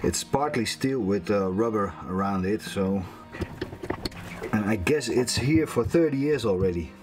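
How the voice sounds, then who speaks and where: A man's voice: on the low side, music in the background. A man in his fifties casually talking through a motor repair at a small workbench, thinking aloud as he works. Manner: slow, relaxed, thinking aloud while working with his hands. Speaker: sailing vlogger repairing boat gear. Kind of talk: casual how-to narration. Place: small workshop bench aboard a boat.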